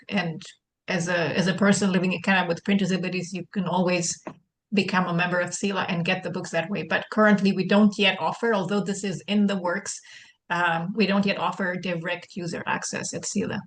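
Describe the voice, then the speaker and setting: A woman's voice: lowish voice, level tone, brisk, slight background noise. A woman answering a question at a conference panel in a careful, formal way, repeating words as she finds her phrasing.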